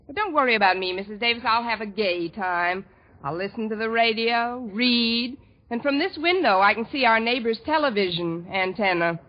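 Actress as wry wisecracker, noisy old radio. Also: female voice, on the high side, normal speed, lightly acted. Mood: dryly resigned. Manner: dry, deadpan quips. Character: wry, wisecracking single woman, good-humored and dry. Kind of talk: 1940s radio comedy acting. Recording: noisy dull 1940s radio recording, studio audience. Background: studio audience.

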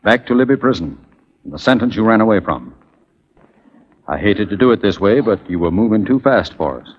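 An actor playing a cunning Confederate officer in 1940s radio style, formal and smoothly menacing. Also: male voice, on the low side, expressive, normal speed, natural voice. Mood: triumphant, polite. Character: cool, courteous, cunning Confederate spy officer. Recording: muffled, noisy 1940s radio recording with clicks.

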